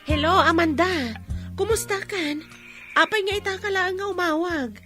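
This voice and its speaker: A high-pitched young woman with an Ilocano accent. She is a caring, loyal friend in a radio drama, with light music behind her. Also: moderate pace. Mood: surprised, friendly.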